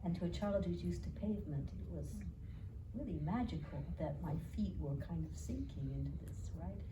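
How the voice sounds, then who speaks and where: Educator, woman, New York accent, low-pitched, reflective storyteller, heard from a distant mic with clear background noise. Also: moderate pace. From New York City. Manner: thoughtful, storytelling, frequent fillers and restarts. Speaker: educator and author. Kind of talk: reflective conversational interview.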